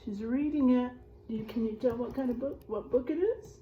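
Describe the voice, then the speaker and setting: A woman's voice: on the high side, normal speed, lo-fi sound, slight background noise. A woman teacher reading a picture book aloud to children, expressively, stretching out rhymes and adding chatty, exclaiming asides.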